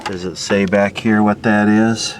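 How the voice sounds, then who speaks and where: A man's voice: fairly low pitch, flat delivery, unhurried, background noise. A man giving casual off-the-cuff commentary as he looks over old equipment, musing aloud and asking himself questions with a sardonic streak. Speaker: host of a vintage radio repair video.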